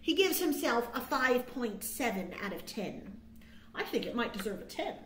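A middle-aged woman reading a picture book aloud to young children with expressive, dramatic emphasis and chatty asides, as a storytime host. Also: a little high, normal speed, a little hiss or hum. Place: small indoor room. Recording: roomy, echoing indoor sound.

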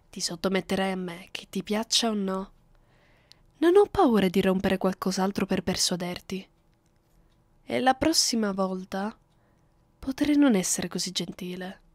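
A woman's voice: mid-range voice, darkish tone, no room sound, natural voice. A woman playing a cruel, seductive vampire mistress in an ASMR roleplay, speaking commandingly and often close to a whisper. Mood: satisfied, menacing. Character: domineering, cruel, seductive vampire mistress addressing her human captive.

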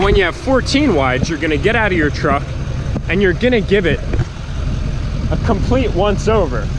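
A young man in his twenties talks straight to a handheld camera from the driver's seat. His voice is somewhat high, stuffy and nasal from allergies. He stays chatty and upbeat, and loud engine and road noise runs underneath. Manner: chatty, upbeat, addresses viewers as guys. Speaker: vlogger. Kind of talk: casual vlog talk to camera. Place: inside a pickup truck and in parking lots. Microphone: action camera held at arm's length. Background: car and road noise.

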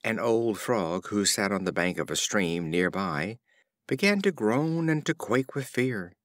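A man narrating a storybook of fables in a measured, expressive reading. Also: on the low side, dry recording, clean studio sound. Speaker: audiobook narrator.

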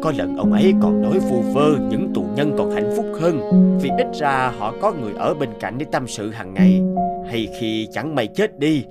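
A calm, serious cartoon doctor played by a man speaks with measured, hesitant care. Music plays clearly behind his voice. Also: mid-range voice, lightly acted. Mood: grave. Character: calm, grave male doctor.

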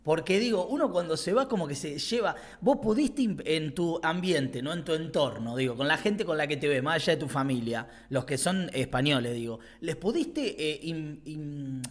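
Rambling Argentine host, fairly high voice. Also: male voice, lively intonation, moderate pace, few pauses. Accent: Argentine Spanish. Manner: rambling questions, loose and friendly. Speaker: interview host. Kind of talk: casual interview. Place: small studio with brick wall. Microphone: studio mic on desk, headphones.

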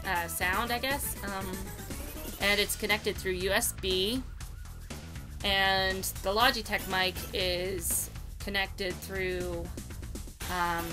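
A young American woman giving a casual, rambling, unscripted tutorial at home in an informal way. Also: mid-range voice. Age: young adult. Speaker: tutorial host, amateur fandubber. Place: cluttered room at home.

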